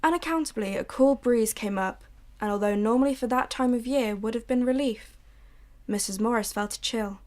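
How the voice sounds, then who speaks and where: A young woman narrating a radio drama in a measured, brooding way. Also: fairly high pitch, darkish tone.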